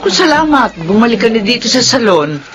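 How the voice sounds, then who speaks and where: A woman's voice: a little high, sing-song, brisk. A Filipino woman playing a bossy but caring older salon owner in a radio drama. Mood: brisk, pleased.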